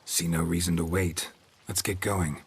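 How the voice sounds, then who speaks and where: Very deep, terse monster hunter. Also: male voice, steady tone, moderate pace, slightly rough. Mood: calm, resolute. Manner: clipped, terse, unemotional. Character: gruff, terse, cool-headed male monster hunter. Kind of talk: understated video game acting.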